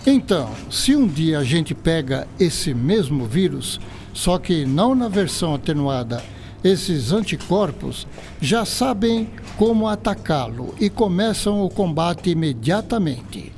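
Earnest Brazilian male scientist, courteous and didactic, higher voice, radio drama with faint noise. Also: big pitch swings, unhurried, vocal fry, darkish tone, exaggerated voice. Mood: patient, explanatory. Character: earnest scientist doctor, courteous, patiently explaining. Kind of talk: educational radio drama acting.

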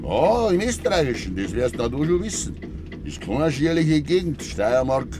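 An actor playing a stubborn, breezily evasive middle-aged farmer in a Bavarian dialect radio play. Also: male voice, medium pitch, sing-song, normal speed, lightly acted. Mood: breezy, stubborn. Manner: breezy, evasive, talking past others. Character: stubborn older farmer father, cheerfully denying his son's death. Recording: noisy 1950s radio play recording.

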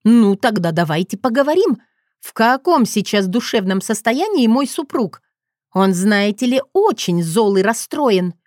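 A woman's voice: a little high, very animated, measured pace, darkish tone, no room sound, studio quality. A woman voicing a theatrical, defiant young woman who plays the wronged victim with pompous, exclamatory delivery in an audiobook story. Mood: mock plaintive.

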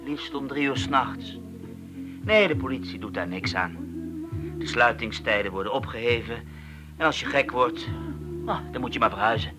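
A blunt, world-weary man played by an actor in a Dutch radio play. He has a mid-pitched voice and Netherlands accent, speaking in sardonic, clipped phrases with music clearly behind him. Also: normal speed, pauses often, lightly acted, low-quality recording. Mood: bitter. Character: bitter, blunt, world-weary ex-psychiatric patient, man over thirty. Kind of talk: naturalistic Dutch radio drama dialogue.

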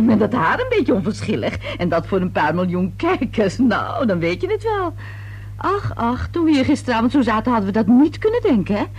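Radio play: nosy, self-important neighbour woman, very animated delivery, rough husky tone, confiding and insinuating. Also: medium pitch. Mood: gossipy, self-important. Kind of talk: Dutch radio play acting.

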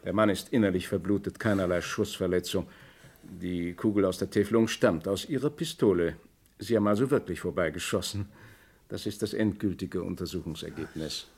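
An actor playing a probing, drily skeptical police commissioner in a radio crime drama, questioning in a clipped, businesslike way. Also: male voice, deep voice, a little fast, a little mellow, husky. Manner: clipped, matter-of-fact questioning.

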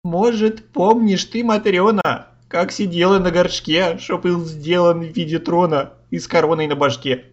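A man's voice: sits high, fairly even intonation, moderate pace, few pauses, highs cut off, voice pushed up. A man voicing an exasperated, blustering tsar who scolds in crude folksy rhyme, in a comic fairy tale. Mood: exasperated scolding. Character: exasperated, blustering male tsar, father of a teenage girl.

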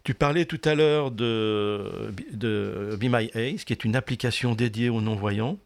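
A man's voice: mid-range voice, measured pace. Chatty male radio host explaining things. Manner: chatty, explanatory, many restarts. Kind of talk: radio talk show discussion. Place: local radio studio.

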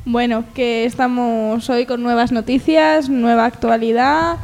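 A high-voiced woman with a Spanish accent from Spain presents mock news in a halting, chatty way. Her voice rings with long reverb. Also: fairly even intonation, creaky, a little mellow. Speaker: mock news presenter on a comedy radio show. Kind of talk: joking news reading with panel banter.

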